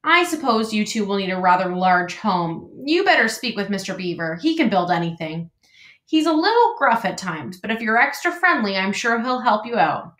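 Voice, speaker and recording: A woman's voice: medium pitch, very animated, normal speed, a bit bright. A woman voicing a bossy, motherly female goose who talks bluntly and chattily. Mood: fussy. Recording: roomy echo.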